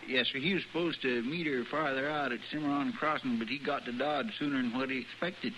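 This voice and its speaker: An American actor playing a fretful, deferential deputy with a folksy rural drawl, hesitant and rambling, in a radio western. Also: male voice, mid-range voice, steady tone, a little fast, pushed and bright, lightly acted. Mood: sheepish, fretful. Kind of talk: 1950s radio western acting. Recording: muffled, noisy old radio recording.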